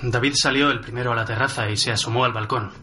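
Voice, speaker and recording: Man acting a tense, sharp-voiced outbreak survivor. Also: medium pitch, steady tone, fast, a little hiss or hum, lightly acted. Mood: grave recounting. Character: tense male survivor recounting a zombie outbreak. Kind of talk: radio drama narration.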